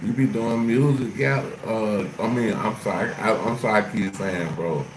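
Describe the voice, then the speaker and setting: A low-pitched man from Florida hosts a laid-back livestream, full of slang and repeated questions to his chat. His voice bounces around an echoey room. Loud noise runs underneath him the whole time. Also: moderate pace. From Jacksonville, Florida. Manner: loose slangy banter, repeats himself, asks viewers questions. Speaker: livestream host. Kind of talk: casual livestream chat with viewers.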